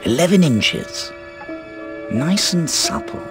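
Game role: a mysterious old British wand seller, swooping theatrical delivery, music underneath. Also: male voice, fairly high pitch, sing-song, takes it slowly, heavily acted. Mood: businesslike. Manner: theatrical, musing, precise. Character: eccentric, mysterious old male wandmaker. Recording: music behind the voice.

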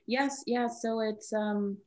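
A young woman from Honduras, a guest artist talking on a video call in a halting way, full of fillers and restarts. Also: on the high side, steady tone, a little mellow. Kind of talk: casual webinar talk.

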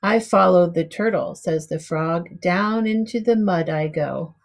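A middle-aged woman reading a picture book aloud to young children in simple, measured storybook phrasing. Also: fairly low pitch. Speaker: preschool teacher reading aloud. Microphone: webcam at arm's length.

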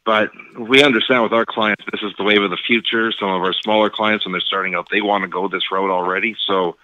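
A man's voice: lowish voice, steady tone, brisk, pushed and bright, no echo, highs cut off. A man from Saskatchewan co-hosting a podcast, teasing his partner in casual chat. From Saskatchewan, Canada. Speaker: podcast co-host.

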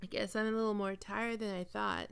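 A woman voicing a prickly, flustered young catgirl roommate in an intimate ASMR roleplay, halting and defensive but secretly soft. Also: medium pitch, steady tone, creaky, smooth, lightly acted. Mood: shy, grateful.

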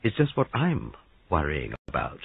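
A man voicing a flighty, childish, excitable young man in an audiobook story, boyish and hesitant. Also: a bass voice, normal speed, vocal fry, husky, dry recording, exaggerated voice. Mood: flippant. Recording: narrow-band lo-fi radio-like sound.

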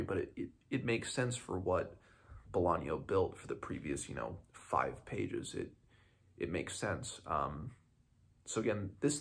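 A man's voice: low-pitched, vocal fry, slightly rough. A man in his twenties giving a casual, unscripted book review to camera in a small home room, thinking aloud hesitantly and often restarting his sentences. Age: 20s.

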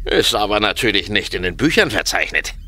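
An actor playing a wry, cagey harbour master in a radio play, explaining things pedantically and with hesitations. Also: male voice, lowish voice, big pitch swings, brisk, pushed and bright, exaggerated voice. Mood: confiding. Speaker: voice actor in an audio drama. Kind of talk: German radio play acting.

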